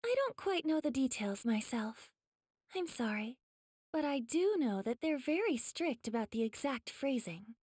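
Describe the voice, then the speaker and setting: A woman playing a gentle, polite young female demon slayer mentor in an anime game, soft-spoken with a teasing sweetness. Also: high voice, sing-song, measured pace, husky, dry recording, clean studio sound, full character voice. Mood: calm, kind.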